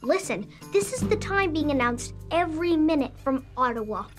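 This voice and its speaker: A man's voice: extremely high pitch, very animated, moderate pace, music in the background. A young male actor playing an earnest, precocious science-obsessed boy in a sitcom, speaking precisely and formally. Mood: eager explaining. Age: child. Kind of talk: sitcom acting.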